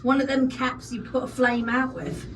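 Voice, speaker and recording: A woman's voice: high-pitched, fairly even intonation, moderate pace. A woman playing a tabletop game, chatting casually and playfully as she improvises. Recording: echoey noisy room, distant mic.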